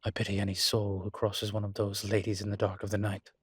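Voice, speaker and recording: Man playing a husky low-voiced suave incubus demon. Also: completely flat delivery, no echo, studio quality. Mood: indignant, protective. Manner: wry, articulate, unhurried emphatic phrasing. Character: suave adult male incubus demon, proud, wry, protective of his kind. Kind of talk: roleplay audio drama, intimate conversational monologue.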